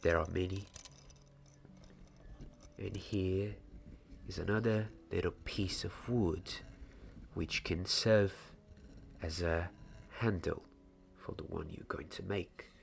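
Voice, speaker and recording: Young wandmaker's apprentice, played by a man, ASMR fantasy roleplay: hushed, deliberate teaching, deep creaky voice, close mic. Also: steady tone, slight background noise, full character voice. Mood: hushed, instructive. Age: 20s. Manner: slow, hushed, deliberate, sometimes slipping into whisper. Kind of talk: ASMR roleplay, soft-spoken.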